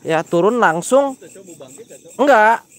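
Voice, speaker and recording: A young man with a Sundanese accent and a stuffy nose from a cold, hosting a night ghost-hunting vlog outdoors on a close-held phone, switching between quick chatter and hushed, drawn-out calls to spirits. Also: high voice, animated. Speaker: paranormal explorer vlogger. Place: outdoors at night among banana plants. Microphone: phone camera held close.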